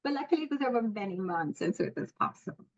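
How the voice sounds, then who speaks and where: A woman's voice: mid-range voice, expressive, fairly quick, darkish tone. Chatty woman in a lo-fi recording. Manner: chatty, fillers and restarts. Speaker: study group member. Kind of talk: casual group discussion.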